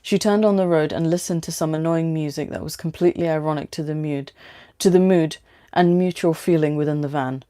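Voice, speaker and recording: A woman's voice: low-pitched, fairly even intonation, brisk, hardly any pauses, dark tone. A woman with a London British accent, hosting a livestream with story reading and casual chat.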